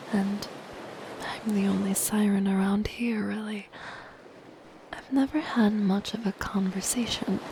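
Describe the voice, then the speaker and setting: A woman voicing a lonely, coyly possessive siren in a soft, breathy whisper for an ASMR roleplay. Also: mid-range voice, natural voice. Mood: playfully threatening. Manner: slow, gentle, coyly possessive. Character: soft-spoken lonely siren, menacing yet shy and curious. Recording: ocean surf noise behind the voice. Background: ocean waves.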